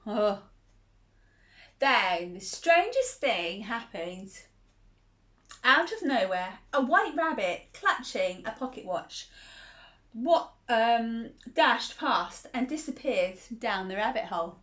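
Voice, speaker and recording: A woman's voice: a little high, big pitch swings, takes it slowly, a bit bright. A woman reading a picture book aloud to children in a cozy, playful way, with chatty asides and laughs between the lines.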